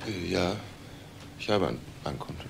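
An actor playing a jobless, defensive typesetter in a crime drama, giving hesitant, guarded short answers. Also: male voice, deep voice, animated, moderate pace, vocal fry, a little hiss or hum, full character voice. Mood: uneasy, defensive. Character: jobless male typesetter, defensive and uneasy under questioning. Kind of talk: German TV crime drama dialogue.

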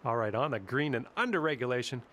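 A man voicing the in-game golf commentator, giving measured, scripted calls of each shot. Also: medium pitch, natural voice. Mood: calm. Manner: calm, measured announcer delivery.

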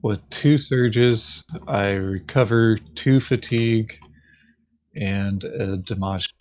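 A man with a fairly low voice plays a board game, talking very slowly in short, deadpan remarks. The recording is dull and lo-fi. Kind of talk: casual gaming chat. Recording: dull, lo-fi voice chat microphone.

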